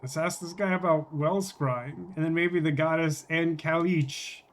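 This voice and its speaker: A game streamer chatting casually through a playthrough, thinking out loud with joking asides. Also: mid-range voice, fairly even intonation, a little slow. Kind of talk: casual gameplay commentary, reading dialogue aloud.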